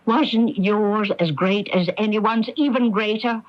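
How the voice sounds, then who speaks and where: An actress playing a frail, anxious but quietly relentless middle-aged sister in a radio mystery, speaking deliberately on a radio broadcast recording. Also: female voice, mid-range voice, lo-fi sound, close to an everyday voice. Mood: quietly accusing. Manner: halting, repeats words when anxious, deliberate. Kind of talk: old-time radio mystery drama acting.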